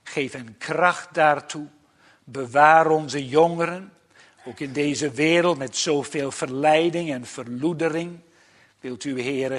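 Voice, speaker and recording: A man leading a solemn church prayer as pastor, speaking earnestly and pleadingly in measured phrases broken by pauses. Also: a little high.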